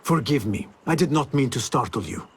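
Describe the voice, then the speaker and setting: An actor voicing a stealthy assassin who speaks in a measured, quiet, resolute way. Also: male voice, medium pitch, lively intonation, slightly rough. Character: stealthy hooded assassin, calm and determined.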